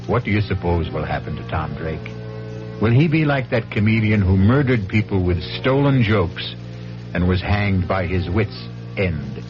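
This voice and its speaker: Deep slow guy hosting a creepy 70s radio mystery, music under him, deliberate delivery. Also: animated. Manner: deliberate, macabre, punning. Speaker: radio mystery show host. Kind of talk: 1970s radio drama hosting. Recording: muffled old radio recording.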